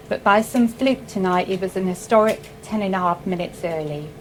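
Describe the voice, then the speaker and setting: Low-voiced woman reading a short story. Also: slight background noise, low-quality recording. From South Wales. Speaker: writer reading her own fiction.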